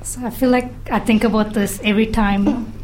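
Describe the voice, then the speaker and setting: A young woman from Malaysia, a PhD student speaking softly and reflectively as a podcast guest. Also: a little high, steady tone, moderate pace, rough recording. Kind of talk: casual conversation. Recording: faint background noise.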